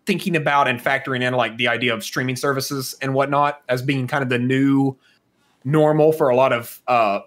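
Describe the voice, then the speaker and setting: A man's voice: medium pitch, fairly even intonation, normal speed, a bit bright. A man co-hosting a podcast, explaining his points in a casual way and checking in with "right?" as he goes. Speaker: podcast co-host. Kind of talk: casual chat.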